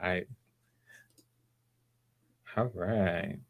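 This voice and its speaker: A man's voice: low voice, steady tone, drawn-out words. A man hosting auditions in a casual, encouraging way, often repeating his words. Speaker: audition host.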